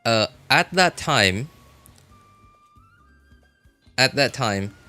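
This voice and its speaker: A man chatting casually as he comments on gameplay on a live stream, rambling and often correcting himself in a halting way. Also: medium pitch, expressive, sharp tone, no room sound, faint music behind. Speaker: game livestreamer.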